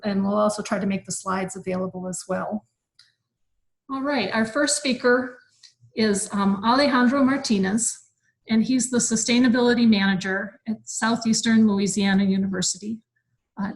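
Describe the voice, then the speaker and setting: A woman moderating a webinar, relaxed and filling pauses with ums and uhs. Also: mid-range voice, rough recording. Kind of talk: casual moderating.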